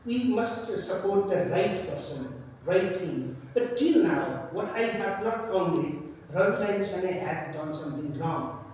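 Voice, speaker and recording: A man's voice: sits high, expressive, a little slow, a little mellow. A man with a Nepali accent, an English teacher talking casually on a podcast, hesitant with many fillers and restarts. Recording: echoey room from far off, faint noise.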